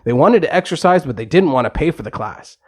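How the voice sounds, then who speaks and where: A man's voice: a little high, expressive, quick pace, darkish tone. A man narrating a scripted bedtime story for kids.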